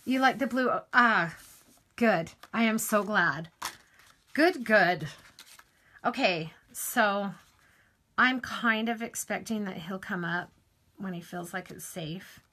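A middle-aged woman with a hoarse morning voice, chatting casually while demonstrating a craft project. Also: medium pitch, takes it slowly, long pauses, bright, forceful tone. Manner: chatty, rambling asides while working. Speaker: crafting tutorial host.

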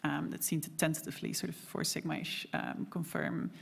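A woman with a low, gravelly voice answers questions at a panel table in a conference room. She is halting and stops to restart often. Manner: halting, many fillers and restarts. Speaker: panelist astronomer.

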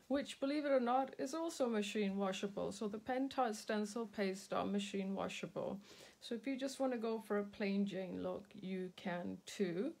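Slow, chatty woman with a sore throat. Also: fairly high pitch, gravelly, darkish tone. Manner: slow, chatty, many ums, greets viewers by name. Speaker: craft demonstrator hosting a live stream. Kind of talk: casual live craft demo. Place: indoor craft table.

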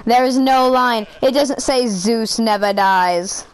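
A woman voicing a boastful, taunting old goat villain who is now a giant T-Rex, in a high-pitched voice in an amateur game machinima. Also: takes it slowly, clear, resonant tone, no echo, poor audio.

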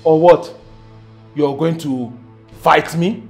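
A man's voice: very high-pitched, big pitch swings, moderate pace, soft background music. An actor with a Nigerian accent playing a slippery, indignant conman who acts innocent in a screen drama. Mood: menacing. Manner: evasive, wheedling, indignant.

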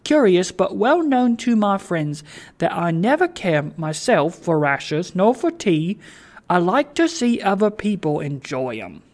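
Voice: mid-range voice, takes it slowly, darkish tone. A narrator voicing a cheery, kindly, flustered poor old father who rambles eagerly in a storybook reading. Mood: cheerfully insistent. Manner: rambling, eager, stumbling over words.

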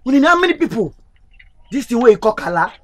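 An actor playing a doubtful, easily panicked young Nigerian sidekick with a Nigerian Pidgin accent and an excitable, pleading manner. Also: male voice, quick pace, a little hiss or hum. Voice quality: very high bright voice, pleading, excitable. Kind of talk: comedy skit acting.

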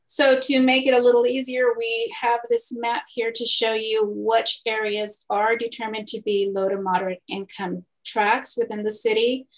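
A woman in her thirties, a city housing manager, presenting a staff report over a video call in a clear, methodical way. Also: on the high side, flat delivery, normal speed, few pauses, rough recording. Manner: clear, methodical, reads figures steadily.

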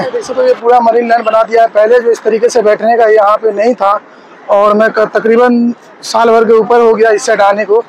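A man's voice: high voice, rough recording. A man giving a chatty walking vlog by a busy seaside road at night, pointing things out to viewers as he films on a handheld phone. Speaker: vlogger. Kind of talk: casual walking vlog commentary. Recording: outdoor phone recording with traffic noise.

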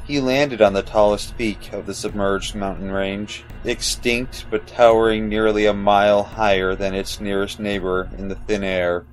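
A man's voice: on the low side, fairly even intonation, moderate pace, few pauses, low-quality recording. A man reading scripted, dramatic fantasy narration for an audio drama. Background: music.

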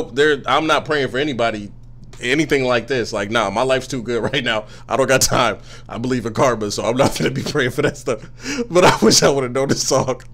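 A man's voice: medium pitch, a bit bright. Young man giving slangy, laughing reaction commentary. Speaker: music reaction YouTuber. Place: small room indoors. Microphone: studio mic on a boom, headphones on.

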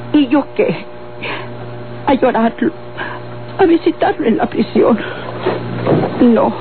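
An actress playing a weary, anxious old Mexican grandmother in a radio soap, with a plaintive, dramatic delivery. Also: sits high. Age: older. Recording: old tinny, noisy radio recording.

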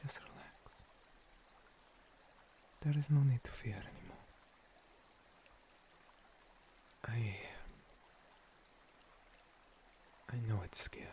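A man playing an ancient, gentle monster priest, a scarred former paladin, in a breathy, halting, soothing ASMR roleplay whisper. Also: on the low side, noisy background, close to an everyday voice. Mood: gentle, reassuring. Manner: slow, soothing, halting pauses. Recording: water streaming and pouring behind. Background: running water.

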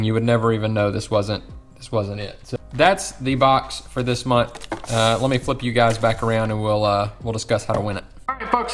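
Casual young man reviewing fishing tackle. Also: medium pitch. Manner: relaxed, opinionated, many ums and restarts. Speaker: fishing channel host. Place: home room with fishing gear.

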